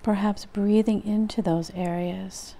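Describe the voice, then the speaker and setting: Slow, mellow woman guiding a meditation. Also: mid-range voice, steady tone. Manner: gentle, unhurried, long pauses between short phrases. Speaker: psychotherapist leading meditation. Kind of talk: guided meditation.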